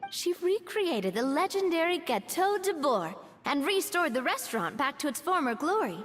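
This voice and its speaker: A confident young woman in a video game, high-voiced and lively in her lilt. She speaks in a friendly, reassuring way and looks after her friends. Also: normal speed. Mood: explaining. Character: confident young woman, warm and gracious leader.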